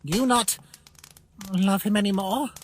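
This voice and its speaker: A woman's voice: mid-range voice, unhurried, slight background noise, natural voice. A woman voicing a polite, innocent young male skeleton in a comic dub, earnest and a little formal. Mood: hesitant, troubled. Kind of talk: comic dub character acting. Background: music.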